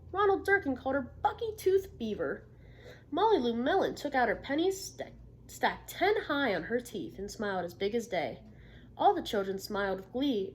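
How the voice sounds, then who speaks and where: A woman reading a picture book aloud to children like a teacher, expressively, pausing to put encouraging questions to her listeners. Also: mid-range voice, a little hiss or hum. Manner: encouraging, checks understanding with frequent questions. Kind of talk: interactive storybook read-aloud with questions to the listeners.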